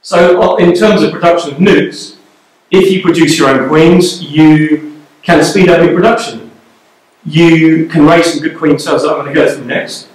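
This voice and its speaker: A man's voice: on the high side, steady tone, normal speed. A man giving a live beekeeping talk to a club, conversational and checking in with the audience, recorded by a camera at the back of a darkened lecture room. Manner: conversational, checks in with "okay?" and "you know?". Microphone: far camera at the back of the room. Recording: very echoey room, camera far off.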